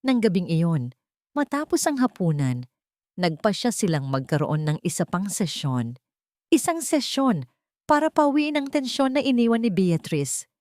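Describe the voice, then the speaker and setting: A woman's voice: medium pitch, sing-song, measured pace, a little mellow, dry recording, clean studio sound. A woman narrating a scripted dramatic story as an audio drama narrator.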